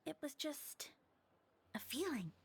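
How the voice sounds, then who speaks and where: A high-pitched female voice delivers a scripted romantic confession. She is earnest and intimate, letting the phrases come out haltingly. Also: sing-song, full character voice. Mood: tender, wistful. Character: earnest woman confessing an unexplainable love. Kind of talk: scripted dramatic monologue.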